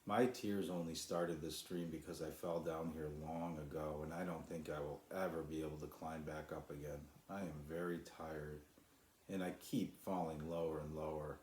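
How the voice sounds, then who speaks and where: A man voicing a sad, weary, gentle female cloud in a children's storybook, apologetic and wistful. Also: sits low, a little hiss or hum, lightly acted. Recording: roomy echo.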